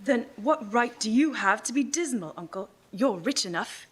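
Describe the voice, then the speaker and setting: A kind-hearted, cheerful young relative of a miser played by a woman in a radio drama. The voice is on the high side, earnest and pleading, with theatrical declamation. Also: animated, normal speed, breathy edge. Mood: cheerful, challenging. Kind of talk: live radio play acting. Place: live community theatre performance.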